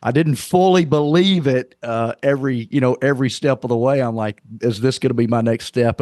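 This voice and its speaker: A man's voice: fairly low pitch, darkish tone, no room sound, studio quality. A middle-aged man with a Southern accent, a guest on a podcast, talking casually and earnestly about leadership, often repeating himself as he goes. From Arkansas, US. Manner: rambling, repeats words, earnest. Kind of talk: casual conversational interview.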